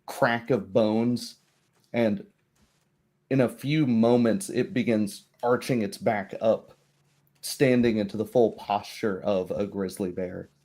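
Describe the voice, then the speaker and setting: A man narrating a tabletop roleplaying game as game master, in a measured way. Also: fairly low pitch, fairly even intonation, mellow.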